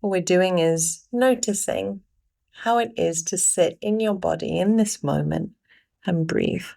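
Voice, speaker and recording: A woman leading a guided meditation, giving gentle instructions in short phrases with pauses between them. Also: fairly low pitch, gravelly.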